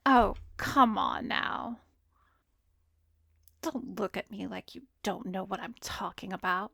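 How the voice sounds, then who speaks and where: A woman playing an arrogant, seductive vampiress who hypnotizes in an ASMR roleplay, breathy and commanding, often slipping into a whisper. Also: mid-range voice, takes it slowly, fairly bright tone, no echo, studio quality, full character voice. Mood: smug, gloating.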